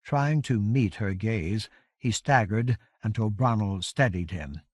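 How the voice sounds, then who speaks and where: A man narrating a fantasy novel as an audiobook, with measured, grave, dramatic storytelling. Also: fairly low pitch, a little mellow, no room sound, studio quality. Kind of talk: scripted dramatic fiction narration.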